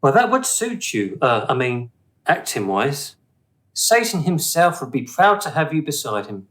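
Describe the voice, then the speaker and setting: A man's voice: on the high side, sing-song, unhurried, a bit bright. Man playing a gallant suitor, lilting widely. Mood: shy, hesitant. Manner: hesitant, polite, gallant. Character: hesitant, courtly male colleague shyly courting a woman. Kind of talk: radio play acting.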